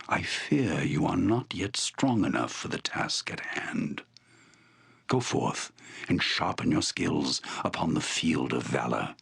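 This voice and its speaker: A noble fantasy-game shaman with a very deep, husky voice. He speaks slowly and formally, with solemn gravity and broad swells of intonation. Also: a bit bright, exaggerated voice. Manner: solemn, formal, deliberate delivery. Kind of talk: dramatic fantasy video game voice acting.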